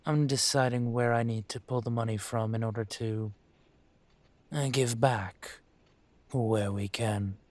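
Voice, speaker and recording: A man playing an aging dragon mafia boss in a roleplay audio drama, speaking in a smooth, deliberate, courtly manner with veiled menace. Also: mid-range voice, steady tone, a little mellow, no room sound, close to an everyday voice. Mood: composed, authoritative. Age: older.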